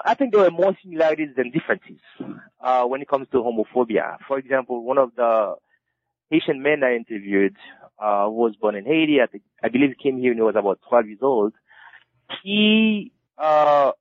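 Halting man in a dull, dry recording. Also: mid-range voice, no echo. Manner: halting, with many repeated restarts. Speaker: author interviewed about his book. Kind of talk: interview answers.

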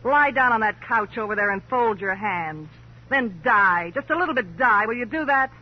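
An actress playing a brazen, sullen American con artist in a 1950s radio crime drama, with a sardonic, slangy, hard-boiled delivery. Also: female voice, a little high, lively intonation, normal speed. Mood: bitter, mocking. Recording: muffled old radio broadcast.

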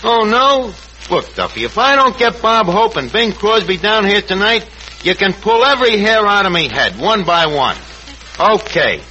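A cocky new york tavern manager, high sharp voice, mangles grammar, old noisy radio show. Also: male voice, animated, normal speed, dull audio. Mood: scheming. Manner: pompous malapropisms and slangy grammar. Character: brash, scheming tavern manager who mangles his words. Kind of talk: 1940s radio comedy acting.

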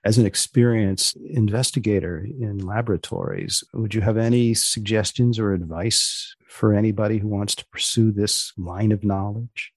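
A man hosting a comic science podcast in a dry, deliberate, deadpan way with mock-formal phrasing. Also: fairly low pitch, no echo. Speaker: podcast host.

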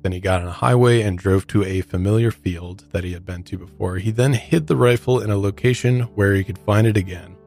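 A man's voice: low-pitched, fairly quick, creaky, few pauses, a little mellow. A man hosting a true crime podcast, casually explaining court clips and the case to his co-host.

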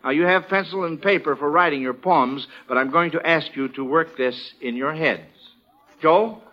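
A man's voice: fairly high pitch, normal speed, muffled recording. An American man hosting a 1940s radio quiz show in a folksy, exclamatory manner, on an old recording.

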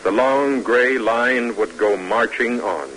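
A man's voice: mid-range voice, slow pace, fairly bright tone, a little hiss or hum, low-quality recording. An American man narrating an old-time radio drama in a solemn, stately, oratorical way. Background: music.

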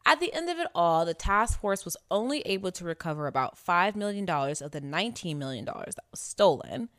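Woman late 20s chatting on a true crime podcast, lowish voice, dry close sound, slangy n sweary. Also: sing-song, a little fast, dry recording. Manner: candid, slangy, profane. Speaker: podcast co-host.

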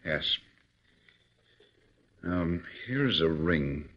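An actor playing a calm, authoritative male government chief giving measured instructions in a 1940s radio drama. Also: low-pitched, full character voice. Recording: dull old radio recording.

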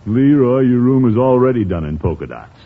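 An actor playing a pompous, blustery middle-aged uncle in a 1950s radio comedy. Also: male voice, mid-range voice, expressive, a little fast. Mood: exasperated, firm. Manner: pompous, theatrical. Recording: tinny, noisy old radio recording.

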